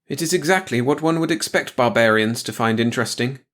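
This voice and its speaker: A man's voice: medium pitch, lively intonation, fairly quick, fairly bright tone, natural voice. A man voicing a stern, contemptuous young woman soldier in an audiobook story, with a curt, commanding manner. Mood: cool contempt.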